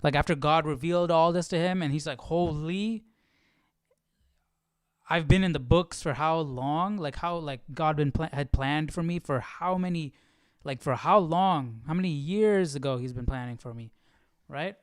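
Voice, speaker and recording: A man's voice: fairly high pitch, normal speed, clean tone, dry recording, clean studio sound. A man hosting a casual Bible study livestream, reading and thinking aloud in an informal, questioning way. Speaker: livestream host.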